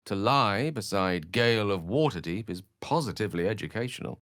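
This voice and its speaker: An actor playing an eloquent, wry, romantic scholarly wizard in a fantasy video game, speaking in an articulate, theatrical way. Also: male voice, fairly low pitch, animated, brisk, fairly bright tone, no echo, clean studio sound. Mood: playful, romantic.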